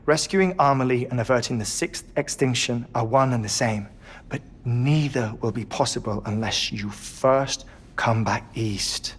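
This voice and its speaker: A man playing a grave, deliberate scientist in a video game cutscene, speaking formally and with measured phrasing. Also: mid-range voice, slight background noise, close to an everyday voice. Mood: grave, urging. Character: grave, deliberate male scientist advising the hero.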